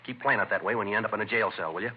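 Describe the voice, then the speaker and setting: An actor playing a stern, matter-of-fact police detective in a 1950s radio drama. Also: male voice, on the low side, racing, close to an everyday voice. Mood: stern lecturing. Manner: terse, matter-of-fact, authoritative. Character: stern, clipped, no-nonsense male police detective. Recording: muffled, noisy vintage radio recording.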